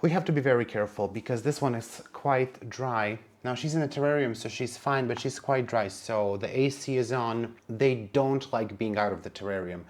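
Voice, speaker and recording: A man's voice: medium pitch, normal speed, few pauses. Chatty, sassy man whose native tongue is Serbian. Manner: chatty and wry, sassy asides, calls plants "her" and "girl". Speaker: plant vlogger. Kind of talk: casual vlog narration while handling plants.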